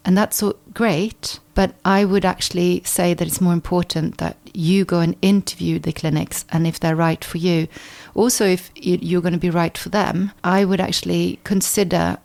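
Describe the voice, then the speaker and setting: A woman in her fifties, raised in Sweden, speaking low with a gravelly edge. She talks things through as a podcast guest, circling back and restarting phrases as she thinks. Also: fairly even intonation, moderate pace, hardly any pauses, darkish tone. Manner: thoughtful, with frequent restarts and repeated words. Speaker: guest chiropractor. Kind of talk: conversational interview.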